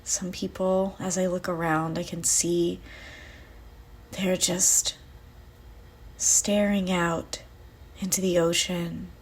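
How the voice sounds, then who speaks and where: A woman guides a visualization meditation in a slightly low voice. Her delivery is very unhurried and soothing, with long pauses. The tone is soft and breathy, at times slipping toward a whisper. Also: little pitch movement.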